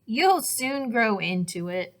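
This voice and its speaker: A woman voicing a kindly, playful father bear in a children's storybook, gentle and encouraging. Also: on the high side, big pitch swings, takes it slowly, voice pushed up. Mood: reassuring.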